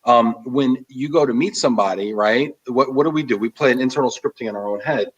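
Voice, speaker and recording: A man hosting a casual interview, talking in an animated, emphatic way as he gives advice. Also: medium pitch, a little fast, breathy edge, distant mic, dull audio. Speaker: host. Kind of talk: casual interview and live chat.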